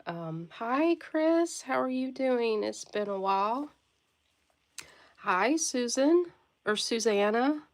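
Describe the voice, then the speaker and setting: Stuffy-nosed woman chatting on a slow live stream. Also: fairly high pitch, lively intonation. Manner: friendly greetings to viewers by name; occasional coughing and throat clearing. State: stuffy nose from a cold. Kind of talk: casual livestream chat.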